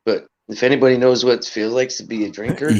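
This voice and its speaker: Raspy, earnest host of a lo-fi livestream, mid-pitched and a bit muffled, rambling and preachy. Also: male voice, fairly even intonation, quick pace, a little mellow. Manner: earnest, rambling, preachy about energy and respect. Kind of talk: casual livestream chat.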